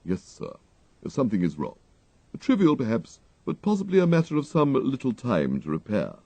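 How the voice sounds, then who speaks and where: A man voicing an unflappable English gentleman's manservant, deferential and deadpan, with formal, measured phrasing. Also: medium pitch, no room sound, voice pushed up. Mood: impassive.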